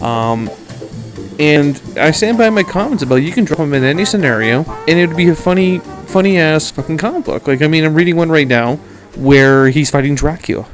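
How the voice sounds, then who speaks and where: Emphatic Canadian man hosting a podcast. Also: mid-range voice. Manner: blunt, emphatic, swearing. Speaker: podcast host. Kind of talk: opinionated film commentary.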